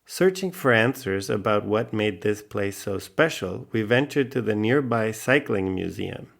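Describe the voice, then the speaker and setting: A man's voice: on the low side, animated, a little slow, gravelly. A man from Spain hosting a travel podcast, reading scripted narration about his journey.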